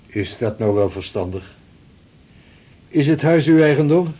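A seasoned sea captain in a radio play. His voice is husky and middling in pitch. He speaks in short, formal, decisive phrases with a hearty eagerness. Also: lively intonation, unhurried, warm tone. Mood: gentle, thoughtful.

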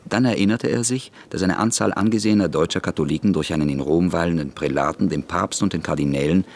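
A man narrating a radio play in a brisk, crisp storytelling manner. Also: low voice.

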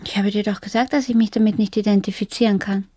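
Radio play: stubborn, emotionally detached woman, played by an actress, breathy and husky, deliberate delivery, very dry room. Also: mid-range voice, fairly even intonation, dark tone, no room sound, natural voice. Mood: detached, curt. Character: detached, stubborn woman estranged after waking from a coma. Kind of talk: radio drama acting, introspective monologue and dialogue.

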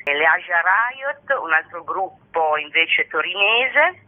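A woman's voice: fairly low pitch, lively intonation, takes it slowly. Woman artist on a tinny phone line. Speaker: artist presenting her own exhibition. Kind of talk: explanatory interview talk. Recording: narrow tinny telephone-like line.

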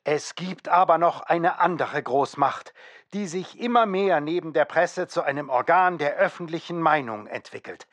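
A man's voice: fairly high pitch, moderate pace, a bit bright, dry recording, lightly acted. A man reading an old press article aloud in a formal, scripted manner for radio drama. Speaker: reader of an old trade-paper article. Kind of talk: scripted declarative reading of 1910s press text.

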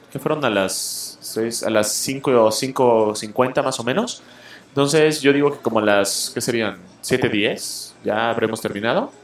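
Guy hosting a show, blunt opinions, lots of "este" fillers, mid pitch, roomy echo. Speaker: show host reviewing films and comics. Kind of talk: casual opinionated radio-style review.

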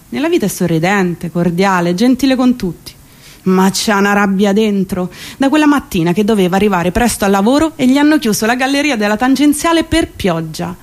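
A woman performing a comic theatrical monologue, colloquial, wry and emphatic. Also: mid-range voice. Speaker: stage actress and monologist.

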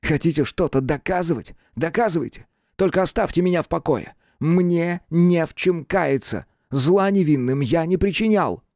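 A man's voice: on the high side, moderate pace, hardly any pauses, a little mellow, no room sound, clean studio sound, pitched above the performer's own voice, close to an everyday voice. A man voicing an anguished, bitter hunchbacked male teacher in an audiobook, fervent and defiant, with breathless indignation. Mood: agitated, defiant. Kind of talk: dramatic audiobook character reading.

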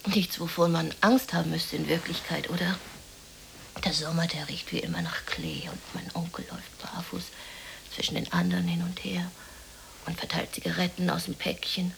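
Radio play lead: lonely, despondent woman, halting half-whispered monologue with a gravelly fry, faint background noise. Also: on the low side, lively intonation, a bit bright, rough recording. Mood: haunted, remembering. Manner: halting, breathy half-whisper, musing to herself. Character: lonely, depressed unemployed woman, brooding and dreamy.

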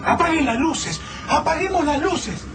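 An actor playing an excitable young man among the survivors who talks in street slang. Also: very high voice, unhurried, loud music behind the voice. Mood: panicked. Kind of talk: radio drama acting.

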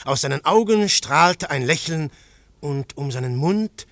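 Ein Schauspieler spielt in einem Hörspiel einen leidenschaftlichen Detektiv, der mal drängend ruft, mal leise grübelt. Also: male voice, fairly high pitch, lively intonation, unhurried, no echo. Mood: brooding. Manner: fervent, declamatory, shifting to hushed musing. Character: intense male detective, brooding philosopher. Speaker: detective narrator. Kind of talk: radio play acting.

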